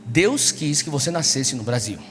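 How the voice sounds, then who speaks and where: A man's voice: on the high side, very animated, normal speed, noisy background. A Brazilian man preaching to a young congregation, exhorting them with repeated rhetorical questions. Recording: echoey large hall, music behind. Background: music.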